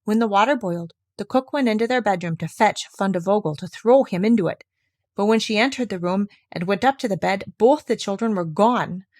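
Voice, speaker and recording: Female fairy-tale narrator: medium pitch, measured expressive read, dry studio-like sound without room echo. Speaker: storyteller.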